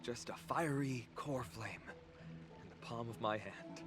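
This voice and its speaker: A man playing an earnest, humble, duty-bound young hero in a video game, with a sincere, measured delivery. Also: medium pitch, over loud music, natural voice. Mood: earnest, resolute. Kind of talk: dramatic video game voice acting.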